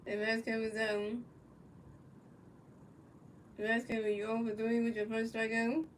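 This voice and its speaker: A woman's voice: a little high, monotone, measured pace. A woman from the United States doing casual, repetitive play-by-play for a baseball video game, stumbling over her calls and talking to her chat viewers. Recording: distant mic with faint background noise.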